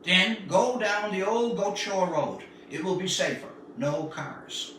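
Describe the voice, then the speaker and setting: A man's voice: sits high, expressive. Man reading as stern father, echoey room. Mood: firm, cautious. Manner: firm, instructive, measured. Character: stern, cautious father instructing his young son. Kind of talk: storybook reading. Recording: echoey room, distant mic, faint noise.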